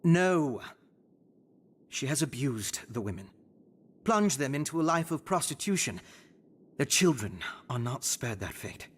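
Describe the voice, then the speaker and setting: An actor voicing a sly, glib broker for an assassins' guild in a video game, teasing and theatrical. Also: male voice, medium pitch, very animated, unhurried, long pauses, slightly rough. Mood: coolly persuasive. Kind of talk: dramatic video game voice acting.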